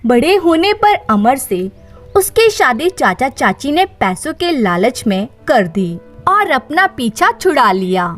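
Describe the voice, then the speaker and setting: A woman tells a dramatized moral story in a high voice with lively, swinging intonation. She takes it very slowly, as a storyteller would, with faint music behind her.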